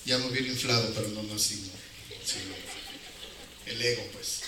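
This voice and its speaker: A man's voice: lowish voice, steady tone, normal speed. Male preacher, Mexican accent, colloquial and emphatic with repetition; distant, heavily reverberant sound. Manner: colloquial, rhetorical questions, repeats phrases for emphasis. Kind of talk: informal evangelical sermon. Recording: very echoey hall, distant, noisy.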